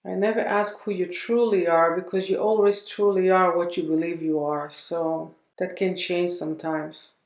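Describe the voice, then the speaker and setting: A woman leading a guided meditation in a gentle, unhurried manner, leaving long pauses between phrases. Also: lowish voice, steady tone, reverberant.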